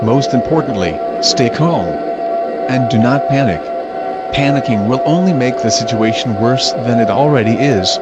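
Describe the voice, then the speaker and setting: A man playing a grave, resolute president giving a formal, measured emergency broadcast address. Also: mid-range voice, close to an everyday voice. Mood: solemn, resolute.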